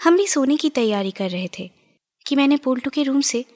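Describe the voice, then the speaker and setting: A woman's voice: sits high, animated, moderate pace, a little mellow, a little hiss or hum. A woman playing an anxious mother in an audio horror drama, telling her story in a theatrical, alarmed way. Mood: fond, narrating. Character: anxious Bengali mother recounting a haunting.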